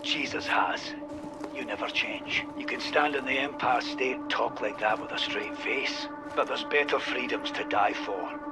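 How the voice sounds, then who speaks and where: An embittered ex-soldier villain in a war game, mid-pitched and sardonic. He taunts and preaches with scorn while loud cinematic music plays behind him. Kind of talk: cinematic video game acting.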